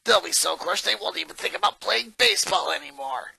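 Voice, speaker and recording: Man acting a teenage boy bully, smug, menacing, taunting, bright forceful voice, dry sound, story reading. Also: sits high, a little fast, gravelly, slightly rough, dry recording, exaggerated voice. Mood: scheming. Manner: taunting, scheming. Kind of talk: dramatic fan fiction reading.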